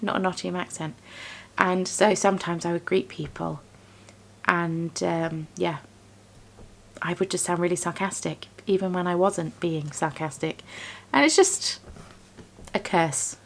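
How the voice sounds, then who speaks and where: Low, gravelly woman chatting casually. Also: fairly bright tone. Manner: rambling, self-deprecating chatter. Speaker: yarn dyer and small business owner. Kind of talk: casual chatty vlog voice-over. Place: home craft studio.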